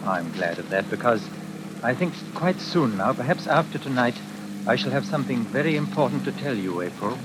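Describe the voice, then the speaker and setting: An actor playing a courteous, troubled stage actor with hidden menace, in measured 1940s radio drama style. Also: male voice, mid-range voice, animated, close to an everyday voice. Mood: tender, uneasy. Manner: polite, measured, faintly ironic. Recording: noisy, hissing old radio recording.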